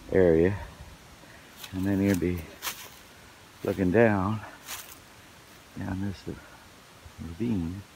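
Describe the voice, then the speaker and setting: A hoarse man rambling casually about his work on his wooded property, with lots of fillers. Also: low voice, a little hiss or hum. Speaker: vlogger. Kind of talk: casual outdoor vlog narration.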